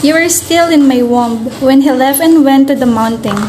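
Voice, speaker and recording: A woman's voice: high-pitched, normal speed, darkish tone, slight background noise, close to an everyday voice. A woman playing a worried, protective mother of an epic hero in readers' theater. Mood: concerned.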